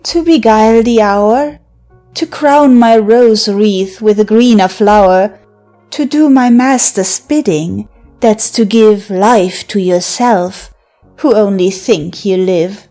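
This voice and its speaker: A woman performs a teasing enchantress who taunts her lover in a theatrical verse drama. She speaks very slowly at an ordinary female pitch, with mockery and seduction in her delivery. Soft music sits behind the voice. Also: close to an everyday voice. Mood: seductive, commanding. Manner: theatrical, taunting, breaking into laughter and sighs. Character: teasing, mocking seductive woman of magical wiles. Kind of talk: verse drama audiobook acting.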